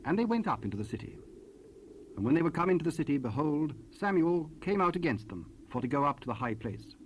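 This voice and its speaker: A man's voice: fairly high pitch, sing-song. Formal male scripture narrator, faint hiss. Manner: formal, measured. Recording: hissy 1970s cassette rip.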